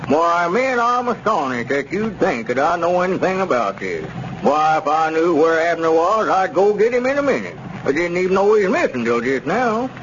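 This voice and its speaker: Slippery rural squire, folksy bluster, very animated delivery, muffled old radio sound. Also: male voice, on the high side, moderate pace. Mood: protesting, evasive. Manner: blustering, protesting innocence in folksy phrasing. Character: evasive small-town squire peddling dubious mining stock. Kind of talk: 1930s radio comedy serial acting. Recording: muffled, noisy 1930s radio recording.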